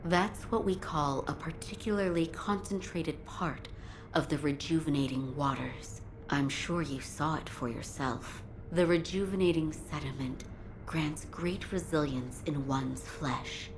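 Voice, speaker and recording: Low, unhurried, composed lady doctor. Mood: calm, grave. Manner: measured, formal, soft-spoken. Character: composed, gentle young female castle doctor. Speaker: video game voice actor. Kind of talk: dramatic game cutscene dialogue. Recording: noisy game audio, ambient background.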